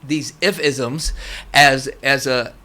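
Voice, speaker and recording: A man speaking as an interview guest, telling stories casually and haltingly, repeating phrases as he goes. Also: mid-range voice, steady tone, bright, forceful tone. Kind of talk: casual conversational storytelling.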